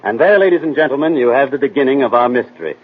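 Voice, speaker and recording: Animated man hosting tinny old-time radio mystery. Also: medium pitch, rapid-fire. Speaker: host, narrator. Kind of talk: scripted 1940s radio narration.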